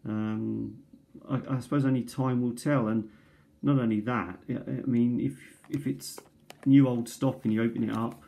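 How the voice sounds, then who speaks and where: A man casually showing his cassette tape collection at a kitchen table, recorded on a tablet, pausing often with ums and restarts. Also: mid-range voice, mellow. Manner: hesitant, many ums and restarts. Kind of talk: casual show-and-tell. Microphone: tablet camera mic.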